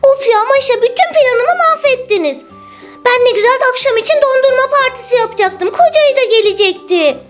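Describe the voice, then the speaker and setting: A woman's voice: animated, brisk, soft background music. A woman voicing an exasperated but forgiving little girl doll in a children's toy story, childlike and given to scolding and sighing. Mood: upset. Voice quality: high, childlike.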